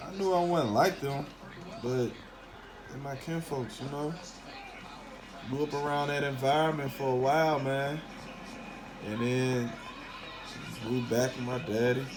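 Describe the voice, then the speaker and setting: A man from Memphis with a Southern accent, giving a casual, opinionated monologue in blunt slang with plenty of swearing. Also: fairly high pitch. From Memphis, southern United States. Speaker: talk host.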